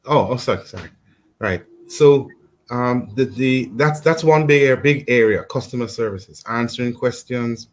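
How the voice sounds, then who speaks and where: A man's voice: medium pitch, a little slow. A male guest explaining technology on the radio, hesitant, with many ums and restarts. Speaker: guest expert on artificial intelligence. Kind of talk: remote radio interview.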